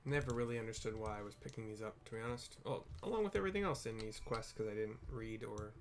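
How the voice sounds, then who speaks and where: A man's voice: on the low side, a little hiss or hum. A man casually narrating a game playthrough, often stumbling and correcting himself as he goes. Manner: halting, self-correcting read-aloud. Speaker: gameplay video host. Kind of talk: casual game playthrough, reading quest dialogue aloud.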